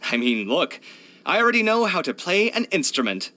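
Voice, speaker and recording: A man's voice: high-pitched, normal speed, full character voice. A man voicing a proud, earnest young architect for a video game, chatty and candid in his delivery. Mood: enthusiastic. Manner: chatty, candid, expressive, sighing. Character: young male architect, proud, earnest, expressive, hard-luck. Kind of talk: video game character voice lines.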